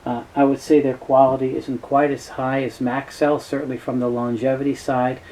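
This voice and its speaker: A middle-aged man with a soft-edged, mellow voice presents an informal explainer. He speaks in halting phrases, often pausing on an uh before carrying on. There is faint noise behind his voice. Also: medium pitch, flat delivery. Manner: hesitant, halting phrasing with frequent uh pauses. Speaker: presenter, tape specialist. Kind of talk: informal product overview explainer.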